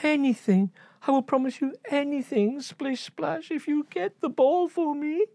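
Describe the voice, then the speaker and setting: High squeaky girl princess, whiny, excitable, woman putting it on, dry close recording. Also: slow pace, dry recording. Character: young fretful princess, excitable and spoiled.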